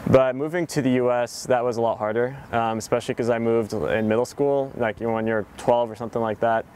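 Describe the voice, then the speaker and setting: A man's voice: medium pitch, a little fast, slight background noise. A young man in a documentary interview, talking casually about where he grew up, with many fillers. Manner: relaxed, chatty, many fillers.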